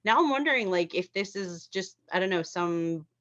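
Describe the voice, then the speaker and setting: A woman's voice: lowish voice, expressive, moderate pace, a bit bright, highs cut off. A woman in a casual group discussion of a script, rambling and often repeating and rephrasing herself. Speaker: table read participant.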